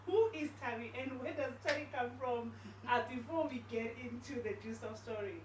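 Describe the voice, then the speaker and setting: A woman hosting a podcast interview, lively and enthusiastic, chiming in often. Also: fairly high pitch, normal speed. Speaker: podcast host. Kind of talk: casual interview chat. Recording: roomy, distant mic with background noise.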